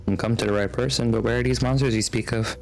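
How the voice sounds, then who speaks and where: A woman's voice: extremely low pitch, steady tone, fast, a little mellow, a little hiss or hum. A woman game streamer with a casual, wry manner, reading dialogue and reacting live. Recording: game music behind the voice.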